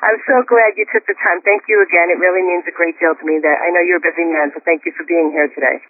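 A woman's voice: lowish voice, fairly even intonation, fast talker, breathy edge. A woman hosting an interview show, chatting in a bubbly, enthusiastic way. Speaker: host. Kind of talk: casual interview chat. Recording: tinny phone-line audio.

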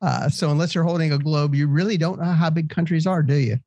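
A man's voice: fairly high pitch, steady tone, quick pace, darkish tone, dry recording. An American man with a congested nose hosts a casual co-hosted discussion in a jokey, rambling way. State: congested from allergies. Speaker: podcast host.

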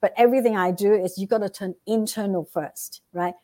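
A woman's voice: medium pitch, fairly even intonation, a little fast, a little mellow. A woman with a Vietnamese accent, a guest giving earnest motivational advice and often checking in with "right?". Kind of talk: motivational interview talk.

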